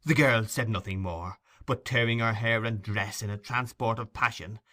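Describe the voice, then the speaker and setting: A man's voice: on the low side, normal speed, bright, forceful tone. A man reading a classic novel aloud as an audiobook narrator, in dramatic scripted storytelling.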